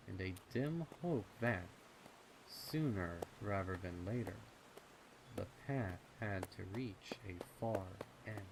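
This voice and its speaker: A man reading scripted dark fiction as narrator, in a measured, deliberate manner. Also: low-pitched. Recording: noticeable ambient noise behind the voice. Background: ambient sound effects.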